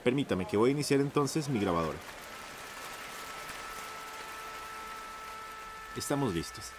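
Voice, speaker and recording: A man's voice: mid-range voice, lively intonation, fast talker, a little hiss or hum, natural voice. A man acting in radio theatre as a courteous, enthusiastic 1950s radio journalist, with a Costa Rican accent and a formal, announcer-like manner. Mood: formal announcing. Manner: formal, effusively polite, announcer-like.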